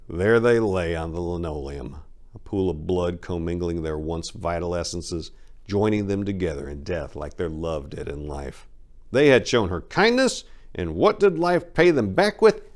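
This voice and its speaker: Deep-voiced man narrating crime fiction. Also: lively intonation, measured pace. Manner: theatrical, sardonic asides. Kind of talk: dramatic reading of a crime story.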